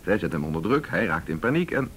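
A persuasive senior detective from a radio play, with a low voice and a Netherlands Dutch accent. He talks quickly and insistently, over faint noise. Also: big pitch swings. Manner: insistent, reasoning aloud. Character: senior male police detective, determined and persuasive. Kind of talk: naturalistic radio drama dialogue.

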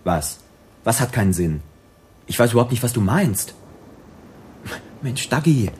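A man's voice: medium pitch, big pitch swings, measured pace, darkish tone, husky, exaggerated voice. A man playing a menacing, volatile armed robber on the run in a radio drama, with a crude, sneering, slangy way of talking. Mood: agitated, pleading.

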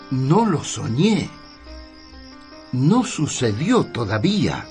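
An actor with an Argentine accent playing an old, earnest scriptwriter who narrates and questions in a radio drama. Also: male voice, a little high. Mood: bewildered. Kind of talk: radio drama acting and storytelling.

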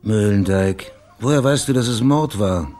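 A man's voice: lowish voice, normal speed, warm tone, soft background music, close to an everyday voice. An actor playing a dry, sardonic male private detective in a radio crime drama, speaking laconically and wryly. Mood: wry, probing. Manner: laconic, wry, probing questions.

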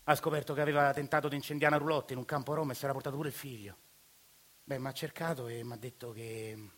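An actor playing a humbled father speaking softly and tenderly to his wife. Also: mid-range voice, a little hiss or hum, voice pushed down, close to an everyday voice. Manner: soft, reflective, tender.

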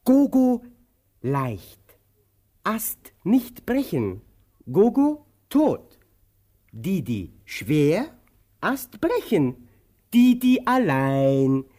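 Childlike tramp, theatrical radio drama delivery, very animated swooping pitch, halting, hushed then exclaiming, moody. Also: male voice, exaggerated voice. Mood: insistent, halting. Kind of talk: German radio play, theatrical absurdist dialogue.

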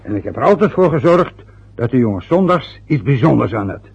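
A man's voice: mid-range voice, very animated, brisk, vocal fry, a little mellow, slightly rough, heavily acted. An actor playing a bitter middle-aged father who grumbles and reproaches his son. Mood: reminiscing. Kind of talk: radio play acting. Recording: dull lo-fi old radio recording.

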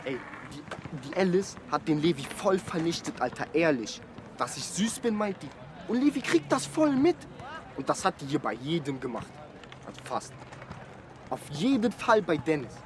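An actor playing an excitable, naive young man in a radio drama, talking in street slang. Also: fairly high pitch, normal speed, slight background noise. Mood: excitable, chatty. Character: excitable, naive young male hanger-on from the youth club. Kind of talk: slangy interview-style radio drama monologue.

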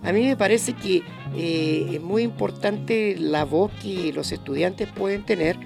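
A man's voice: sits high, measured pace, gravelly, over music. A man in his fifties, a radio guest speaking earnestly with many hesitations. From Chile. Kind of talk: radio discussion.

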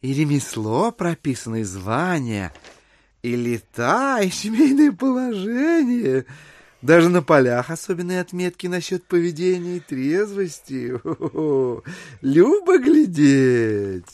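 An actor playing a polite, cautious gentleman schemer, ingratiating and evasive, in a Russian literary audio drama. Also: on the high side, sing-song, a little slow, dry recording. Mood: admiring.

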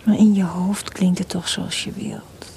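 An actress playing a composer's affectionate, teasing, quietly exasperated young wife in an intimate radio play. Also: female voice, fairly low pitch, steady tone, a little slow, gravelly, a little hiss or hum. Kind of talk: Dutch radio play acting.

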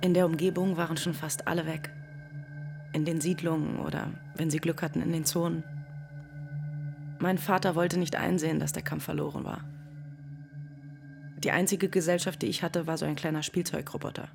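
A woman playing the last living person, calmly narrating memories of her childhood on a farm. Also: deep voice, creaky, over music. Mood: reflective. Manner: thoughtful, measured. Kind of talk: reflective narration.

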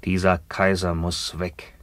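Bitter Roman accuser, deep voice, solemn rhetoric, dry close sound, radio drama. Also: male voice, flat delivery, unhurried, a bit bright, no echo. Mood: grim, bitter. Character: bitter returned Roman nobleman, proud, tormented accuser. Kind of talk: radio play acting.

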